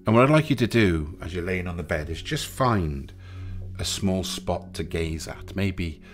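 A man narrating a guided sleep meditation in a soothing, gentle, unhurried way, leaving pauses between short phrases. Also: low-pitched, expressive. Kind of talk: guided sleep meditation, hypnotic relaxation countdown. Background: music.

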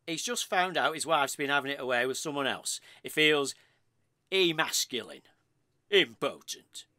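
An actor playing an excitable, morbid husband in a comic radio play, melodramatic and gossipy, with a British accent. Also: male voice, on the high side, moderate pace, no echo, clean studio sound. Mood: gleeful, morbid. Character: excitable morbid husband spinning lurid murder theories.

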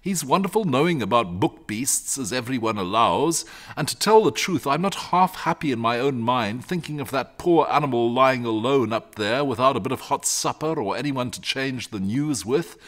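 A man's voice: mid-range voice, expressive, moderate pace, fairly bright tone. A man voicing a sensible, kindly country mother in a storybook, homely, rustic and reassuring. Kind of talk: storybook character reading.

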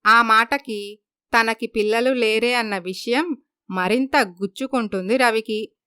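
A woman narrates a scripted family story. Her voice sits fairly high, with a bright, smooth tone. The recording is close and dry. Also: fairly even intonation, a little slow, no room sound.

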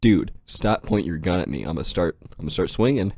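A man's voice: low-pitched, animated, fast, a little mellow, dry recording. A man giving casual, joking gameplay commentary in a slangy, sweary way, as host of a gaming video.

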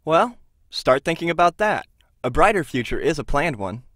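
A man playing a patient but probing counselor in an audio drama, questioning in a halting way. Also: on the high side, very animated, fairly bright tone, no echo, studio quality.

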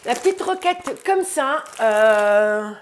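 A woman in her thirties with a stuffy nose from a cold, chatting casually to her viewers about her groceries in a bright room, recorded on a lapel mic. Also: a little high, animated, slow pace, slight background noise. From France. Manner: chatty, says "les amis" often, upbeat. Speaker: vlogger. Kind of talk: casual grocery haul vlog. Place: bright room indoors by a window.

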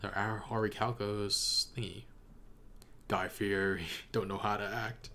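A man's voice: lowish voice, level tone, a little slow, pushed and bright, a little hiss or hum. A young man giving casual, off-the-cuff reaction commentary as a video host. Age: young adult. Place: small room indoors. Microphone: desk condenser microphone.

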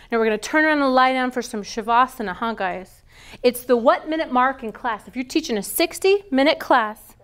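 A middle-aged woman teaching a yoga teacher training class, lively and quizzing her students with questions. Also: high-pitched. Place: large wooden-floored yoga studio. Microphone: far camera.

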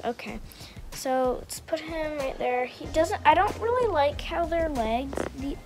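A young woman giving a casual show-and-tell tour of her toy horse stable at home, rambling with many ums and restarts, filmed on a handheld phone. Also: sits high. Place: indoors at home, beside a toy stable on the floor. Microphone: handheld phone camera.

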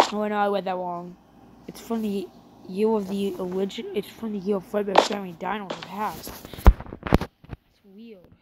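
A woman chatting in character during a casual game roleplay, breaking into excited exclamations. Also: mid-range voice, heavy background noise. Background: loud game music.

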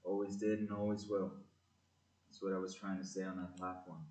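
A man's voice: low-pitched, level tone, brisk, a little mellow, close to an everyday voice. A man with a British accent playing an earnest, apologetic old sweetheart in an amateur audio play. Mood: earnest, pleading. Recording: echoey room, distant mic.

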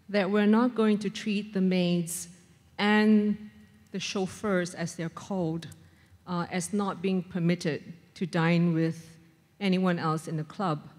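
A woman's voice: fairly low pitch, a little mellow. A middle-aged woman making prepared, earnest points from the floor at a conference. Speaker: audience questioner, social service worker. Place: large conference ballroom. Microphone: standing floor microphone. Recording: long hall reverb from conference PA.

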